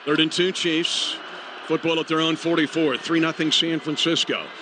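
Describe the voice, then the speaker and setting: A high-voiced American man works as a radio play-by-play announcer for football. His phrasing is clipped and urgent, delivered over clear stadium noise on a live broadcast. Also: level tone, moderate pace.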